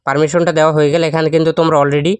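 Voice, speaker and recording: A man races through a how-to voice-over at a very fast pace. His delivery stays level with little melody, and his voice is clean and smooth. He speaks straight to viewers, guiding them step by step. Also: a little high, flat delivery, no echo. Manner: addresses viewers directly, step by step. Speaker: YouTube tutorial host. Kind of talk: instructional screen-recording voice-over.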